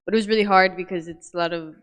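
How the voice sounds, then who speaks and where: A woman's voice: lowish voice, rapid-fire. A young woman student athlete answering interview questions casually, with easy laughs and lots of filler phrases. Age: young adult. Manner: relaxed, laughs easily, lots of "you know".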